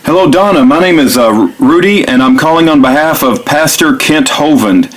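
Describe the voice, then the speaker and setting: A man speaking informally and earnestly, as if leaving a phone message or narrating one, in a halting, repetitive, pleading way. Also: fairly high pitch, expressive, rough recording. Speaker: activist video maker recording himself making a phone call.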